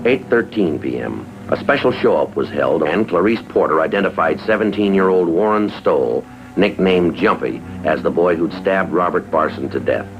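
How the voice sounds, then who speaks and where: A man's voice: sits low, expressive, normal speed. An American man playing a stoic, businesslike police detective in a 1950s drama, speaking in a clipped, matter-of-fact way. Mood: flat, official. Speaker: police detective lieutenant and narrator. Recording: dull vintage TV soundtrack, music behind.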